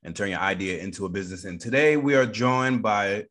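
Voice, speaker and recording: A man's voice: fairly low pitch, fairly quick, fairly bright tone. A man with an American accent hosting a radio interview in a casual, upbeat style.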